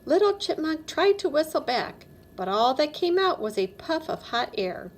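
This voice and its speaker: A woman narrating a children's storybook aloud in an expressive read-aloud style. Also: high-pitched, normal speed. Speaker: storybook reader.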